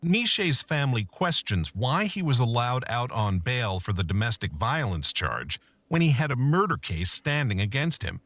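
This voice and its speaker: A man narrating a true-crime documentary in a measured, scripted storytelling manner. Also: mid-range voice, very animated, fairly bright tone.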